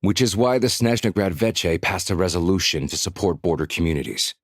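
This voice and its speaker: A man's voice: low voice, expressive, brisk, dry recording. A man voicing a curt, unbending, by-the-book supply officer in a video game. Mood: stern, officious.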